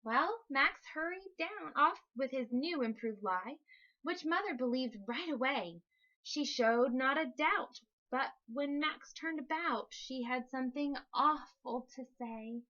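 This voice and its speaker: A woman reading a rhyming children's story aloud in an expressive narrating style. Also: high voice, slow, highs cut off. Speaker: storybook reader.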